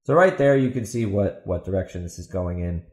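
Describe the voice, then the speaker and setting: A man's voice: deep voice, lively intonation, fairly quick, darkish tone, roomy sound. A man hosting a podcast, giving opinionated commentary in a sarcastic, emphatic way and repeating himself for stress. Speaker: podcast host and author.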